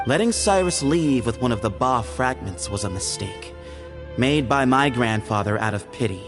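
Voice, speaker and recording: Gravelly, solemn temple heir played by a man. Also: mid-range voice, lively intonation, over music, natural voice. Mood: firm, formal. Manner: formal, measured delivery. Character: solemn male temple heir guarding tradition, formal and pragmatic. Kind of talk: video game voice acting.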